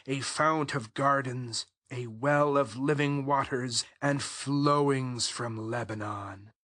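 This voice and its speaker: A man as a slow, bright-voiced adoring royal lover. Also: mid-range voice, dry recording, studio quality. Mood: tender, adoring. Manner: solemn, measured, archaic poetic phrasing. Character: King Solomon as tender adoring lover praising his bride. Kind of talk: dramatized scripture reading, bedtime poetry.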